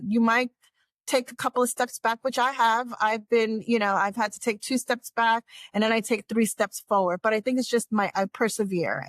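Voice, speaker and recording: A woman's voice: medium pitch, a bit bright, no echo. A middle-aged woman from New York, a guest in a casual interview, rambling with frequent restarts and laughs. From New York, United States.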